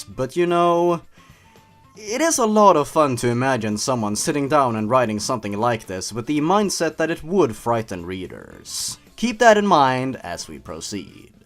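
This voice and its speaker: Male comedy narrator, theatrical and mocking, hugely animated mid-range delivery, faint music underneath. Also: medium pitch, normal speed. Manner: theatrical, mocking, exaggerated emphasis. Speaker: host of a story-reading comedy show. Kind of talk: comedic narration and commentary on a horror story.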